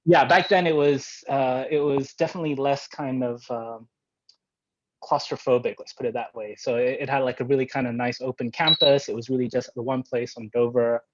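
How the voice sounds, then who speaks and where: A man's voice: mid-range voice, fairly even intonation, moderate pace, no echo. A man answering interview questions over a video call, hesitant and reflective with many fillers. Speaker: interview guest. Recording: dry, dull video-call audio.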